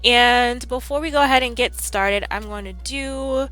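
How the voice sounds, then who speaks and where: A young woman chatting casually on a live stream, upbeat and rambling, with a slightly hoarse, scratchy throat. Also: on the high side, a little slow, bright, forceful tone.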